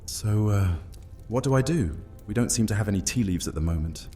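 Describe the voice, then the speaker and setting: An actor playing a suave, predatory vampire in an audio drama, speaking in a theatrical, courtly manner. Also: male voice, on the low side, lively intonation, fairly quick, dark tone, faint music behind. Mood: sheepish, exasperated. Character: suave, menacing male vampire hunter, loyal to his mistress.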